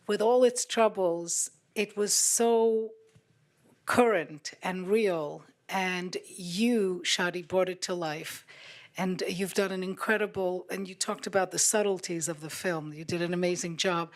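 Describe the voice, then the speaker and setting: Effusive woman in the audience. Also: mid-range voice. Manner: effusive, speeding up. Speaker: audience member.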